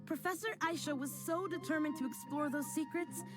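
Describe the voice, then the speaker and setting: A woman's voice: sits high, fairly quick, over music, natural voice. A woman voicing a hopeful, determined young adventurer in a game, speaking plainly. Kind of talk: game cutscene voice acting.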